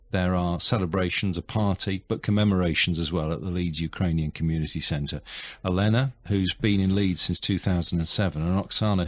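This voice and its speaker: A man's voice: deep voice, dry recording, dull audio. A man presenting local radio, interviewing in a chatty, sympathetic way. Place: radio studio.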